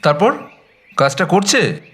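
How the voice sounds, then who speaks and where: A man narrating a dramatised story in a chatty, expressive way, with conversational, teasing asides. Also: high voice, slow. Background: background music.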